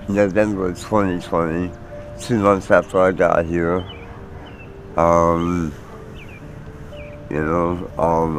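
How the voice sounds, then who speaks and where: An American man in his forties giving halting, candid personal testimony in a street interview. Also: sits low. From Maryland, United States. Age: 40s. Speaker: homeless interviewee.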